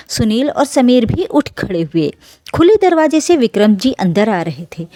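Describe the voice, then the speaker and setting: A woman's voice: on the high side, mellow, dry recording. A woman reading a scripted, emotional family story as a dramatic narration.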